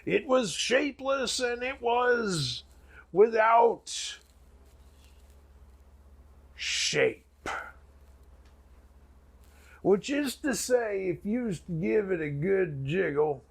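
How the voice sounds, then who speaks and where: A man playing a folksy, grandiose backwoods preacher telling a mock sermon in a raspy, gravelly voice with dramatic pauses. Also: high voice, animated, full character voice. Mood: solemn, folksy. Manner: drawn-out, folksy, dramatic pauses and sudden swells.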